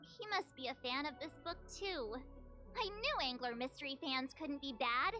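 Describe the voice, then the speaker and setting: Bright, high-voiced young girl. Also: animated, moderate pace, faint music behind. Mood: earnest.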